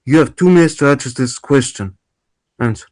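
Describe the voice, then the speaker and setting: A man playing a formal, measured debate moderator in an amateur table read. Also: medium pitch, expressive, darkish tone, no room sound, clean studio sound, close to an everyday voice.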